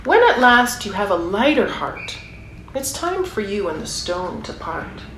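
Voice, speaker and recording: A woman's voice: medium pitch, very animated, unhurried. A woman reading a picture book aloud for young children, with encouraging, chatty asides and questions to her listeners. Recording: roomy echo, clear background noise.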